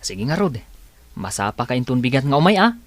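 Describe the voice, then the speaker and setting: A man's voice: medium pitch, sing-song, brisk, no echo, lightly acted. A man acting a hardworking farm co-worker in a radio drama, bossy but friendly, with an urging manner.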